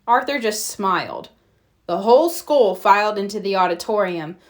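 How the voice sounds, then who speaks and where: A woman narrating a children's storybook aloud in an expressive read-aloud style. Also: medium pitch, moderate pace. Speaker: storybook reader.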